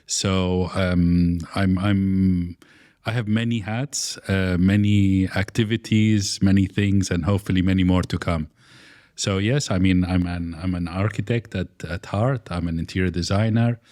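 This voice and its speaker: Low-voiced man, hesitant and halting. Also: steady tone, darkish tone, no echo, clean studio sound. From Iraq. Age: middle-aged. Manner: hesitant, many fillers and restarts. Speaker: architect guest. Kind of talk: conversational interview.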